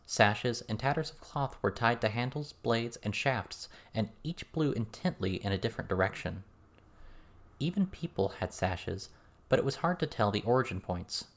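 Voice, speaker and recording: A man reading scripted fiction as an audiobook narrator. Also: on the low side.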